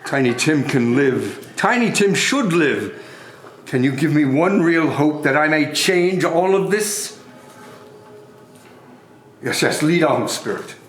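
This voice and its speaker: A man playing a remorseful old miser in a theatrical reading, halting, pleading and exclamatory. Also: fairly high pitch, animated, slight background noise. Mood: indignant. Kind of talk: theatrical dramatic stage reading. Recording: roomy, echoing, music underneath.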